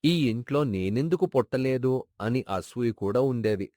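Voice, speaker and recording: A man's voice: mid-range voice, lively intonation, normal speed, mellow, no room sound, studio quality, lightly acted. A man playing a sincere, sentimental colleague and neighbour of a late father, earnestly reminiscing in a moral story. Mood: regretful, weary. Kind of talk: dramatized moral story acting.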